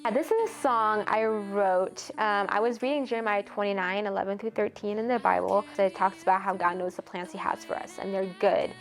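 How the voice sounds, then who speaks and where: A woman's voice: mid-range voice, fairly quick, few pauses, rough recording. An eighteen-year-old woman from Ethiopia, a college student and singer-songwriter, talking earnestly in a casual interview, full of "like" fillers. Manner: earnest, chatty, many "like" fillers.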